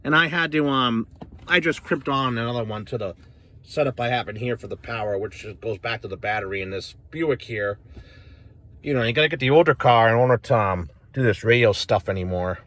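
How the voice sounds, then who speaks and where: A man's voice: mid-range voice, a little fast, fairly bright tone, dry recording. A middle-aged American man giving a casual, off-the-cuff gear demonstration in a plain-spoken, rambling, opinionated way. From northern United States. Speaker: CB radio enthusiast reviewing gear. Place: inside a parked car.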